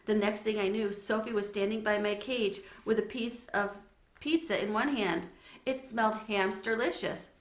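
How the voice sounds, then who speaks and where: A woman narrating a children's story aloud in an expressive read-aloud style. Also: medium pitch, normal speed, roomy sound.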